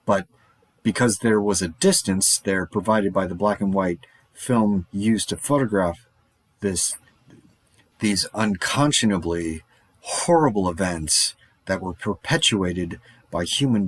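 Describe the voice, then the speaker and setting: A man's voice: fairly low pitch, dry recording. An actor playing a lone man surviving a zombie apocalypse, talking in a rambling, darkly wry monologue for an audio drama.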